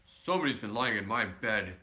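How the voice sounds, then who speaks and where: A man voicing a huge, grumpy bear in a children's storybook, with a rough, gruff, indignant voice. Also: lowish voice, animated, a little fast, pushed and bright, voice pushed down. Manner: grumbling, indignant. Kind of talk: children's storybook read-aloud.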